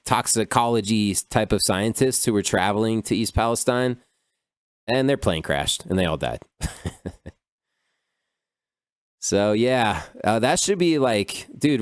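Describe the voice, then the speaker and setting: A man's voice: on the low side, clean tone, no echo, clean studio sound. A man hosting a podcast by himself, riffing on news stories in a loose, profane, rambling way, full of "dude" and "like". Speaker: podcast host. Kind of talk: casual solo commentary on news.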